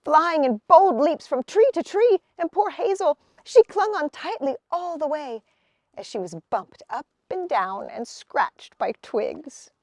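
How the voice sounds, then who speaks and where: Female storybook reader: high voice, very animated intonation, unhurried children's narration, dry sound. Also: no room sound.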